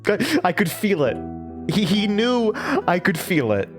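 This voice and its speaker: Bitter grieving man, slow but pitch all over, halting, music behind, audio drama. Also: very animated. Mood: anguished, bitter. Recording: music under the voice.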